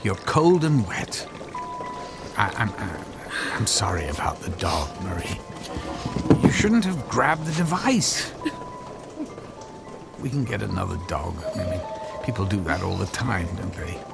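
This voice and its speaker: Hesitant, low, creaky-voiced meek husband. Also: male voice, very animated, loud music behind the voice, exaggerated voice. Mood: apologetic, uneasy. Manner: hesitant, stammering, halting. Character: meek, apologetic husband, tinkering inventor of a dimension device. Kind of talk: radio drama acting.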